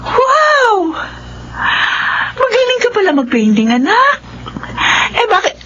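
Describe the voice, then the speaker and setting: A woman's voice: very high voice, huge pitch swings, slow, fairly bright tone, exaggerated voice. An actress playing a caring, hardworking middle-aged mother of grown children in a radio drama, tender and earnest. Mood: surprised, proud. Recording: dull, lo-fi radio drama with noise.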